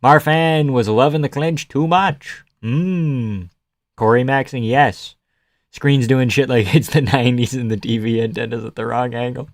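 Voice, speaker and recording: A man's voice: medium pitch, unhurried, dry recording, studio quality. A young man hosting a live stream, chatting casually and sarcastically while reading viewer comments. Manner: sarcastic, offhand, swears and laughs often.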